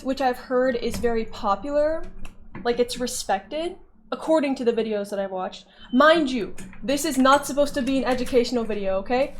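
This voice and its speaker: A woman's voice: sits high, a little hiss or hum. A woman vlogger talking casually and candidly while playing a game, rambling and joking at her own expense. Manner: chatty, candid, self-deprecating. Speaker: gaming vlogger.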